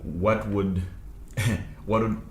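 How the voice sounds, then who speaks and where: A man's voice: fairly low pitch, steady tone, drawn-out words. A man hosting a podcast interview, asking questions casually and laughing easily. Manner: brief back-channel replies, laughs easily. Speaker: podcast host. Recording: echoey room with faint noise.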